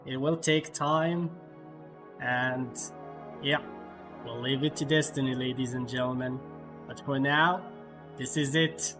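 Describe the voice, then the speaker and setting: A man hosting a game livestream with casual, halting commentary, often repeating himself. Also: mid-range voice, fairly even intonation, over music. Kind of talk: casual live gameplay commentary.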